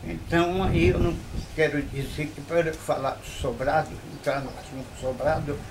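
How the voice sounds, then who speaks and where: An older man recalls local history, his voice a little high for a man. He talks slowly, in short phrases, and keeps checking in with tag questions. Noisy outdoor ambience sits clearly behind him. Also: fairly even intonation. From Bahia, Brazil. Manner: unhurried, with frequent tag questions. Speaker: local storyteller interviewee. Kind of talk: reminiscing interview. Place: historic old mansion.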